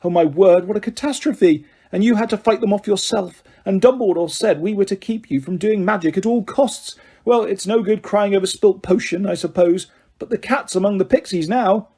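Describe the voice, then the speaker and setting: Male reader as a fussy elderly neighbour woman, impatient and scolding, husky, raised pitch. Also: moderate pace, dark tone. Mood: flustered. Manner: flustered, scolding chatter.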